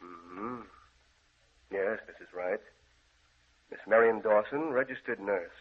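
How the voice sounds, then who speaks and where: An actor playing a grave, controlling country gentleman, speaking formally and solemnly in an old radio drama. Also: fairly low pitch, takes it slowly, slightly rough. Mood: courteous, businesslike. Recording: muffled, tinny old radio recording.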